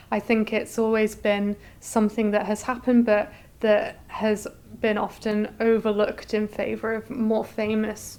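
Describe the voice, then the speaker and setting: Woman curator explaining stuff in an interview, very flat steady pitch, mid-range voice. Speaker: exhibition curator, interview guest.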